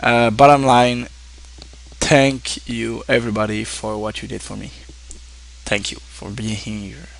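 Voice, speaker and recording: A French-speaking man from Quebec talks to his viewers in English with a Québécois French accent. His mid-pitched voice has a gravelly fry. He stops, restarts and fills gaps often as he goes. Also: little pitch movement, a little hiss or hum, low-quality recording. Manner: halting, with many fillers and self-restarts. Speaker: streamer. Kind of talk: casual thank-you talk to viewers.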